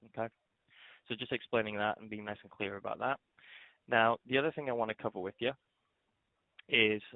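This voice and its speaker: A man giving a conversational software walkthrough as a trainer, explaining step by step and often checking in at the end of a point. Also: fairly low pitch, no room sound. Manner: explanatory, often ends points with a check-in "okay?" or "all right?". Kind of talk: screen-share software tutorial, conversational walkthrough. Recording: narrow phone-like line, fairly dry.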